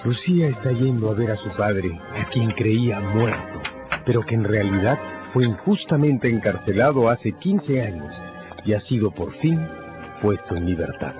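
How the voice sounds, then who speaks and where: A man's voice: lowish voice, animated, normal speed. A man narrating a radio drama from a script in a theatrical, solemn manner, with music behind him. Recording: dull radio sound with prominent music.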